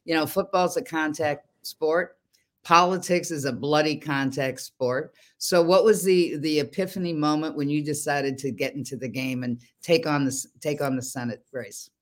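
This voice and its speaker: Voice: mid-range voice, steady tone, moderate pace. A show host asking long, emphatic questions in a political interview.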